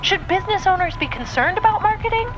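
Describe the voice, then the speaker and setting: A woman's voice: very high-pitched, lively intonation, quick pace, dull audio, over music. A woman playing a dramatic, urgent TV field reporter in a mock news report. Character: dramatic female TV field reporter at disaster scene.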